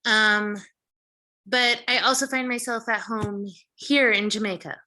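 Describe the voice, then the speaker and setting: Bright, high-voiced woman guest. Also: steady tone, measured pace. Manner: reflective, thinks aloud with fillers and restarts. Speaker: guest, vegan restaurant founder and chef. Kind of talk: casual conversational interview.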